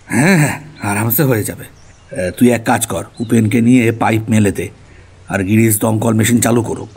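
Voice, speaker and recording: A man's voice: fairly low pitch, normal speed, darkish tone, breathy edge. A man playing a practical, reassuring middle-aged village father in an audio story. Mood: reassuring, instructing. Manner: matter-of-fact, instructing. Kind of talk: Bengali audio story character dialogue.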